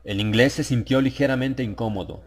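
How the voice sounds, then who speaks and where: A man narrating a horror audiobook from a script, in a measured, literary storytelling manner. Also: mid-range voice.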